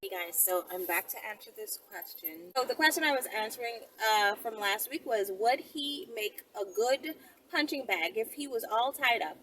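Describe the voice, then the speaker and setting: A woman's voice: fairly high pitch, expressive, normal speed. A woman talking casually to her viewers as a video host, rambling conversationally and often checking in with tag questions. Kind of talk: casual solo talk to viewers. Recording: distant mic, loud vehicle-like background noise.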